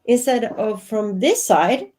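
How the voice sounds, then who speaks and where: A woman's voice: fairly high pitch, sing-song, slow, noisy background. A woman in her fifties from Sweden with a Swedish accent, speaking earnestly as a guest over a video call from her home office. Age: 50s. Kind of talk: reflective interview talk.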